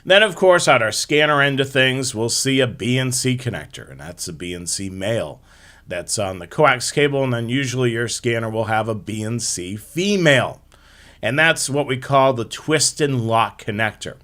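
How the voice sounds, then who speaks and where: A man's voice: medium pitch, expressive, moderate pace, bright, forceful tone. A man hosting a live hobby show, explaining things step by step in an informal slideshow presentation.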